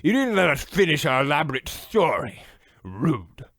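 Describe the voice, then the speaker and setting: A man's voice: fairly high pitch, sing-song, normal speed, fairly bright tone, no echo, clean studio sound, heavily acted. A man playing a cocky, chatty little monstrous chimney sweep in an old-time radio horror comedy, telling tales with swagger and British slang. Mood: indignant, storytelling.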